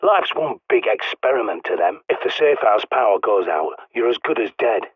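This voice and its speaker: An actor playing a gruff, bossy British survivalist who guides a player by radio, speaking bluntly and swearing freely. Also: male voice, lowish voice, animated, normal speed, no room sound. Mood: dry, scornful. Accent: British English. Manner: barking orders over a radio. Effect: radio filter. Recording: tinny dry radio-line sound.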